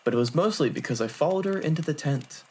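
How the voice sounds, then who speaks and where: A man voicing a smooth-talking, unrepentant flirt with courtly, self-satisfied manners in an anime-style audio drama. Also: a little high, expressive, fast, a little hiss or hum, natural voice. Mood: suave.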